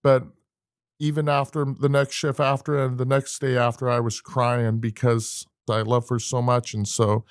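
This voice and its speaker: A man co-hosting a podcast in casual chat, earnest and halting, often repeating words and starting over. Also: medium pitch, little pitch movement, smooth, no room sound, studio quality. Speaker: podcast co-host.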